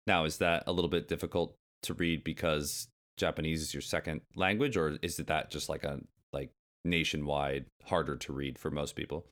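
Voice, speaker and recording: A man's voice: very deep voice, steady tone, moderate pace, a bit bright. A man from the United States co-hosting a podcast in casual chat, curious and asking questions. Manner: curious, asks questions, chatty. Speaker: podcast co-host.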